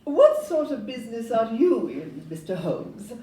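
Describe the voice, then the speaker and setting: Roomy, distant recording. Actress as young Victorian house agent: polite, brisk questioner, lively mellow voice. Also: female voice, on the high side. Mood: businesslike, curious. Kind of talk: stage audio-play acting. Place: live performance in a club room. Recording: distant roomy live recording, faint noise.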